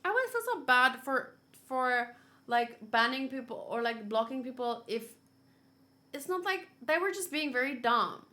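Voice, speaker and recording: Bright-voiced, chatty woman streamer. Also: sits high, expressive, normal speed. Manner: chatty, rambling, filler-heavy. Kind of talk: casual live game-reaction chat.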